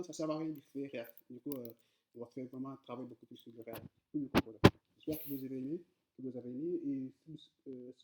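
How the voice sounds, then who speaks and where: Man, non-native French speaker, coding tutor, hesitant, lots of fillers, mid pitch. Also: darkish tone, slight background noise, rough recording. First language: not French. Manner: hesitant, many fillers and repeated words; addresses viewers directly, informal. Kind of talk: casual screen-recorded coding tutorial.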